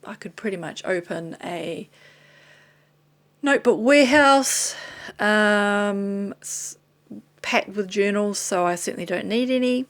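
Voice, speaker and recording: A woman with a New Zealand accent, tired and slightly hoarse, chatting casually and rambling off topic as she shows things to the camera. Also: mid-range voice, takes it slowly. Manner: rambling, chatty, self-deprecating, drifting off topic. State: tired and losing her voice. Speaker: vlogger. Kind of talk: casual chat showing items to camera.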